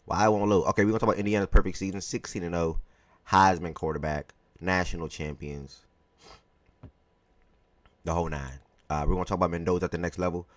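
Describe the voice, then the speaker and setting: A man hosting a live sports talk stream, chatty and relaxed, greeting viewers by name, his voice a little hoarse. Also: sits low, quick pace, no echo. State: slightly hoarse from yelling the day before. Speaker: live show host.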